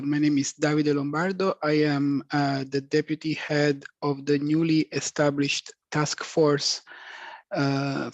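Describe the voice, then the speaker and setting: A man gives a formal presentation on a video call, pausing often on fillers. His slightly raised voice stays flat and level. The audio is dry and dull, like a lo-fi call line. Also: on the high side, no echo. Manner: halting, many fillers. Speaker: EU official presenting.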